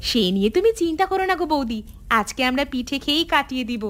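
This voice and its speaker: A woman voicing a lively grown daughter of a village household in a cartoon, brisk and cheerfully familiar. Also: sits high. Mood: cheerful, teasing. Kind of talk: Bengali cartoon voice acting. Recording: music behind the voice.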